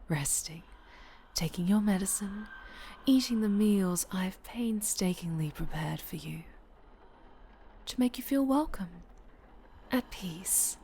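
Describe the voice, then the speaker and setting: A woman playing a possessive, obsessive winged dark-angel superhero, sinister yet doting, in an intimate, breathy ASMR roleplay that often slips toward whispering. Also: on the low side, a little hiss or hum.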